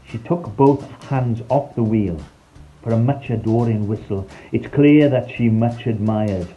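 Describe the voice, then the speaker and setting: A man's voice: lowish voice, mellow, highs cut off. A man reading a scripted, rhyming children's story in a playful, theatrical storytelling manner. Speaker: storyteller, narrator. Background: background music.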